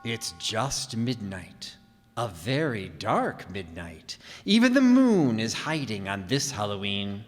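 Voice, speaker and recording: A man playing an old-time radio announcer and narrator, speaking in a deliberate, theatrical and emphatic way. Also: medium pitch, a bit bright, exaggerated voice. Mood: ominous. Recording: echoey hall stage performance.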